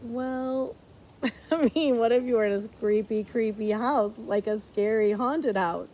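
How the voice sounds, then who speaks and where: High-pitched woman reading a picture book aloud. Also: dark tone. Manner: playful, dramatic pauses before key words. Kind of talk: animated storybook read-aloud with chatty asides.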